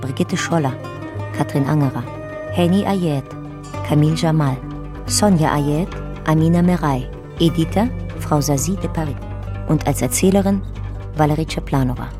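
A woman's voice: sits low, normal speed, long pauses, lightly acted. A woman narrating a radio play in a clipped, matter-of-fact way, reading scripted lines. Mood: neutral. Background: music.

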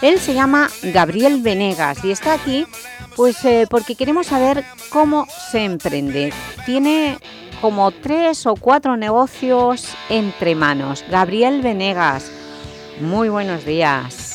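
A woman hosting a radio interview, asking brisk, inquisitive questions. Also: on the high side, big pitch swings, soft background music. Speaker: radio host.

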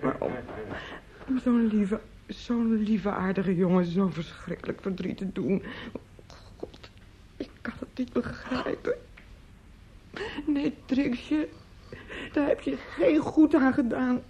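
An actress in a Dutch radio play playing an anguished, talkative female relative who breaks terrible news, theatrical, halting and pleading. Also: on the high side, mellow. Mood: lamenting. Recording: muffled, noisy old radio play recording.

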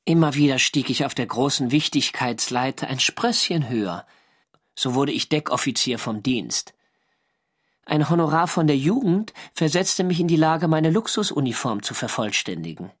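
A measured wartime sailor diarist. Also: male voice, mid-range voice, lively intonation, breathy edge. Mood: wry, reflective. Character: young navy sailor narrating his wartime diary, earnest and wry. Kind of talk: scripted first-person radio drama narration.

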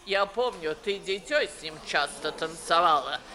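A woman's voice: on the low side, lively intonation, pushed and bright. A woman playing an imperious, grumbling old noblewoman in a theatrical 1950s Russian stage comedy style. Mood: indignant. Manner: theatrical, declamatory, drawn-out grumbling. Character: imperious grumbling 65-year-old Moscow noblewoman, blunt old aunt.

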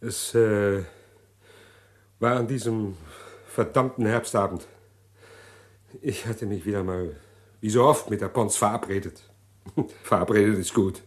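A man's voice: medium pitch, close to an everyday voice. An actor playing a condemned male prisoner in a 1960s radio drama, agitated and stammering as he pleads to confess. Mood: recounting.

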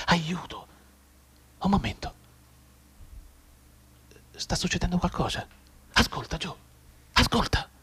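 An actor playing a desperate bedridden mute soldier's inner thoughts in a theatrical radio monologue, halting and repetitive, with volatile emotion and breathy delivery. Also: male voice, fairly high pitch. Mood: hushed, tender wonder. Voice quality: husky, breathy, often dropping to a whisper.

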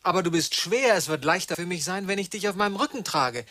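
A man's voice: sits high, lively intonation, fast, sharp tone. An actor playing a protective, earnest male wanderer in a radio drama, with formal literary diction. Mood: protective, strained. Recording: music behind the voice.

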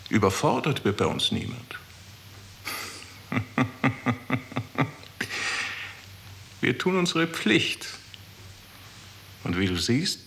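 A smug, cold male official in an old radio drama. He speaks very slowly in a lowish, gravelly voice, deliberate and courteous. Faint hiss lies under the recording. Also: a bit bright, heavily acted. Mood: coldly courteous. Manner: deliberate, self-satisfied, coldly courteous. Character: smug, cold male chief of a death bureaucracy. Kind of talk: 1960s German radio play acting.

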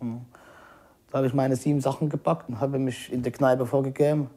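A man shares a personal anecdote in casual, conversational speech. His voice is medium in pitch with a soft, dark colour. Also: flat delivery, a little fast. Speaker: eyewitness.

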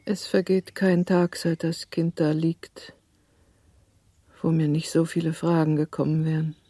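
A middle-aged mother in a radio drama, anxious and searching. Her voice is fairly low, dark and husky, and she puts things in measured questions. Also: little pitch movement, dry recording, full character voice. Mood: troubled, doubting. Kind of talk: German radio drama acting.

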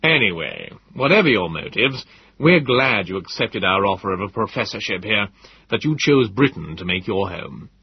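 A man voicing a cautious, blustering British gentleman who speaks slowly and in hushed tones. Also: on the low side, sing-song, slight background noise. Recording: dull lo-fi recording.

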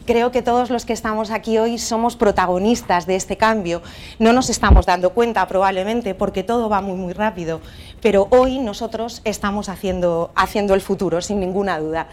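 A woman's voice: mid-range voice, steady tone, normal speed, darkish tone. A woman with a Castilian Spanish accent presents to an audience in a university auditorium, speaking into a mic. Her delivery is conversational, full of small restarts and asides. She checks in with the room through rhetorical questions. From Spain. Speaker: keynote presenter. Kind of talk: conference presentation.

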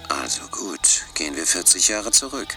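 A man's voice: low-pitched, sing-song, normal speed. A man playing a guarded, streetwise hacker informant in a German radio play, terse and conspiratorial. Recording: music behind the voice.

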